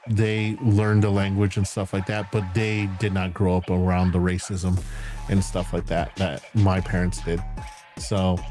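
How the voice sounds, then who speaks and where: A man streaming as a VTuber, chatting casually and candidly, swearing freely and filling pauses with "like" and "um". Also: on the low side, a little mellow. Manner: rambling, candid, swears casually, frequent fillers and laughs. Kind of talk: casual stream chat answering viewer questions. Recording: music playing behind.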